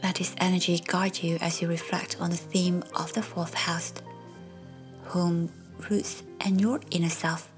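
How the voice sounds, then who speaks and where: Soft, low-pitched woman guiding a meditation. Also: level tone, measured pace. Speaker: meditation guide. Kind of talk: guided meditation narration. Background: soft background music.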